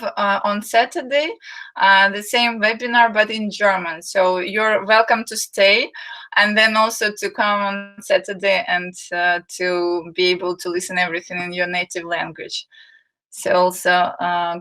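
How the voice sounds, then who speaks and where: Woman, from Ukraine, English, webinar host, slow, bright tone, lots of fillers, computer mic. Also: mid-range voice, rough recording. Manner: friendly, many fillers, reads chat aloud. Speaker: webinar host, marketing and sales manager. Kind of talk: live webinar hosting, chatting with viewers.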